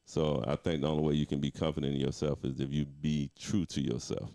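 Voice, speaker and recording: A middle-aged man, a music director, talking as a guest in a casual interview, reflective and relaxed with frequent restarts. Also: very deep voice, fairly quick, gravelly.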